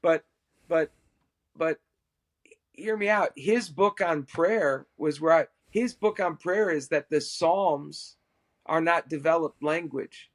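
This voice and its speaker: A middle-aged man, a worship musician and podcast guest, telling a personal story in an animated, self-amused way. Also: on the high side, takes it slowly.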